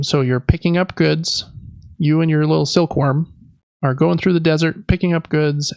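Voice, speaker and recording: A man's voice: on the high side, gravelly, darkish tone, no echo, muffled recording. A man hosting a podcast, chatting casually and explaining games with enthusiasm. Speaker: podcast host. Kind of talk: casual board game review chat.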